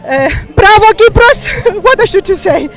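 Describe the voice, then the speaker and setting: A woman's voice: very high voice, moderate pace. A woman from Cyprus, a native Greek speaker with a Cypriot accent, giggly and close to happy tears in an outdoor interview. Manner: giggly, excited. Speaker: athlete being interviewed. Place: outdoors at a medal presentation. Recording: outdoor interview with crowd and music.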